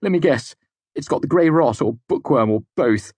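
A man's voice: fairly high pitch, lively intonation, normal speed, a little mellow, husky, no echo, voice pushed up. A man voicing an earnest, gloomy and bookish young male student in an audiobook story. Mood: pessimistic.

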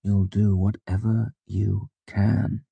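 A man voicing a sly fey helper who swings between low, fierce urging and breezy cheer. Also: little pitch movement, slow, vocal fry, slightly rough, no echo, muffled recording, heavily acted.

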